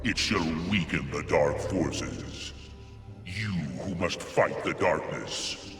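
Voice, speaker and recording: A man's voice: low-pitched, slow pace, a bit bright, exaggerated voice. A man voicing a solemn, stately ancient spirit of light, a devoted guardian in a fantasy game. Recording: echoing hall reverb, music behind.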